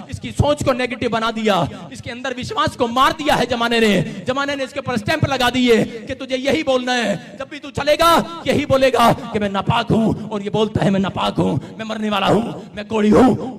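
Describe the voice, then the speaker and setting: A male preacher giving an impassioned healing sermon from a stage at a night prayer meeting, swinging from shouting to hushed tones. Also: extremely high pitch.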